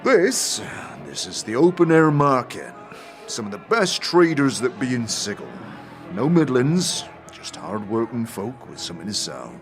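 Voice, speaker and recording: Hearty, blustery fantasy merchant, swooping pitch. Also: male voice, sing-song, a little slow, soft background music. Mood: friendly, folksy. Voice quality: hearty, chuckling. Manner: rustic fantasy street slang, folksy. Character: huge bald burn-scarred blustery jovial male merchant. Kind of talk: acted fantasy audio drama.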